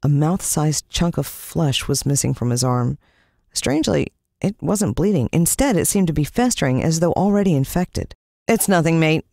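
An American woman narrates a children's adventure story. Her delivery is animated, with a wide swing in pitch. The sound is dry and close. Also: normal speed, gravelly, no echo. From United States. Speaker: audiobook narrator.

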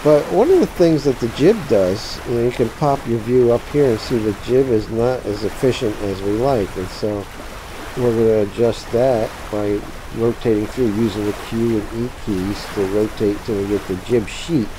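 A man with a lowish voice on a video game tutorial, feeling his way through the steps aloud while boat and water sounds wash behind him. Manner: halting, thinking aloud. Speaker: tutorial presenter. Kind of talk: unscripted how-to commentary over a sailing game. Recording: steady sea and water noise behind. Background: sailing game sounds of boat and water.

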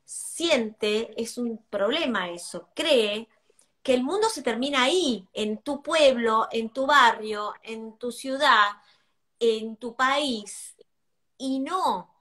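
Female guest, 50s, Argentine accent, somewhat high pitch, casual and searching delivery over video call. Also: takes it slowly, sharp tone. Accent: Argentine Spanish. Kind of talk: casual chat. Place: room at home.

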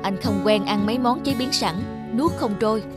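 Cartoon casting: man to play a gentle working husband and dad, prominent music behind him. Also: sits high, normal speed, close to an everyday voice. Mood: weary. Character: gentle, hardworking husband and father. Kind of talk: animated drama dubbing.

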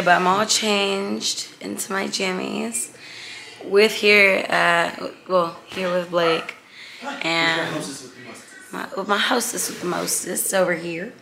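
A woman's voice: medium pitch, takes it slowly, creaky, pushed and bright. A woman in her twenties from Dallas, vlogging casually to a handheld camera and chatting with her viewers. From Dallas, Texas, USA. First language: Nigerian family, raised in Dallas. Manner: chatty, upbeat, addresses viewers as y'all. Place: airport terminal indoors, then apartment living room. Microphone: handheld camera at arm's length.

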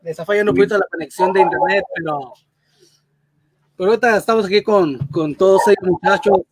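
A man's voice: high voice, fast, slightly rough, no echo, poor audio. A man hosting a video-call interview, asking brief, friendly questions. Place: home room indoors.

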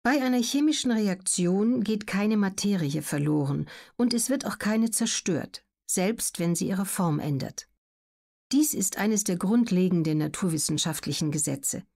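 A woman reads scripted educational narration as an audiobook narrator, measured, factual and clearly articulated. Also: mid-range voice, animated, a little mellow.

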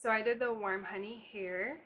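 Young woman from Barbados, chatty makeup vlogger, mid-pitched, faint hiss in a bedroom. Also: fairly even intonation, normal speed, highs cut off. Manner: chatty, casual, laughing asides while applying makeup. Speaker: beauty vlogger. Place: bedroom, indoors.

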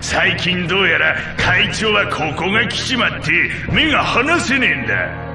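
Swaggering alien gang boss for a game, rough barked orders with animated pitch. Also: male voice, normal speed, echoey room, recorded from a distance, music in the background. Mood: gruff bossiness. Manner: coarse, barking, swaggering. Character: rough, bossy male alien thug gang leader. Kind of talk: video game cutscene acting.

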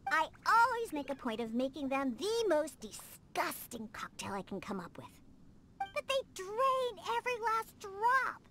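A woman's voice: extremely high pitch, sharp tone, a little hiss or hum, exaggerated voice. A woman playing a proud, prickly young cat-girl bartender in a video game, huffy and haughty, hesitant when she wants something. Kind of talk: English video game voice acting.

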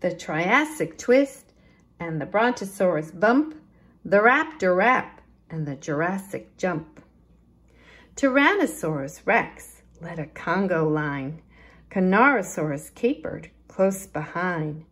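A woman with a slightly low voice reads a picture book to children. Her pitch moves in lively swings and she takes her time over each line. She phrases expressively, stressing rhyme and rhythm like a practised storytime librarian.

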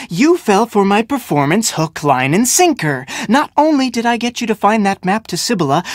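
Theatrical, gloating villain. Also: male voice, sits high, fairly bright tone, no echo, studio quality, exaggerated voice. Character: vengeful, gloating male ex-con actor villain, theatrical and smug. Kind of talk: video game villain acting.

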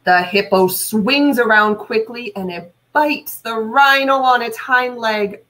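A woman, a teacher reading aloud and talking deliberately to young listeners. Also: fairly high pitch, fairly bright tone. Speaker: teacher and read-aloud host. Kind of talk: read-aloud and instructional talk to children. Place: small indoor room at home.

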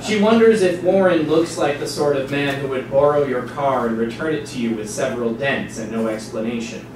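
Male narrator for a live literary reading, deliberate and wry, strong echo over noisy room. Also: mid-range voice. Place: small indoor theatre stage before an audience. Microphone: far camera. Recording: distant, very echoey, noisy live stage recording.

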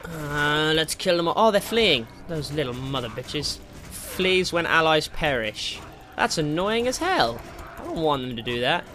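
A man giving casual, excitable and jokey let's play commentary as he hosts a gaming video. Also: fairly high pitch, measured pace. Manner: excitable, jokey, mildly crude exclamations. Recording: game music behind the voice. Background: video game music.

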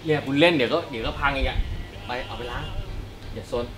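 A man's voice: mid-range voice, quick pace. A man in his thirties hosting a custom bike build, joking and bossing his partner around, then explaining each step plainly in a garage workshop. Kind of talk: casual workshop banter and build commentary. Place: indoor garage paint workshop. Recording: echoey garage room with background noise.

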